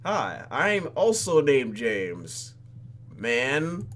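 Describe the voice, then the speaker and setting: A man's voice: mid-range voice, sing-song, very slow, fairly bright tone. A man hosting a let's play, reading the game's narration aloud expressively and dropping in jokey asides. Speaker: gaming host. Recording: game music under commentary.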